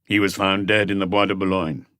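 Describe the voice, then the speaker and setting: A man voicing a calm, terse male chief inspector who questions witnesses in a detective audiobook. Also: sits low, fairly quick, clear, resonant tone, no room sound, studio quality. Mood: calm, grave.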